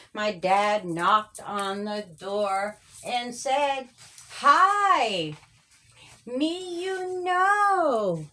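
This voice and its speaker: A woman's voice: a little high, a bit bright. A woman reading her own children's story aloud in rhyming verse, with a sing-song cadence.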